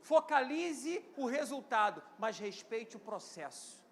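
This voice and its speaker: A Brazilian pastor in his fifties with a Rio de Janeiro accent and a strikingly high voice for a man. He preaches in call-and-response, asking the congregation to repeat lines and answer amen. His delivery climbs to loud, emphatic peaks and then drops back to quieter stretches. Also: very animated, a little slow, a little hiss or hum. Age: 50s. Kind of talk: live church sermon.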